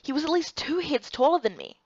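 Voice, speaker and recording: A woman's voice: sits high, very animated, a little fast, darkish tone, dry recording, muffled recording. A woman reading scripted first-person story narration for a game playthrough.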